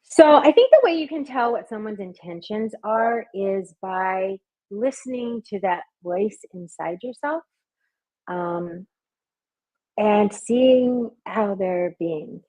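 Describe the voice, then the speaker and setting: A woman with a gentle, soothing voice and a breathy, husky edge explains her hypnosis work in relaxed interview talk. Her pitch sits in an ordinary middle range for a woman. She laughs easily and sometimes sinks into a hushed, low murmur. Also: takes it slowly, low-quality recording. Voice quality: soft, soothing. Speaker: hypnotist and party performer. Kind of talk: conversational interview answers.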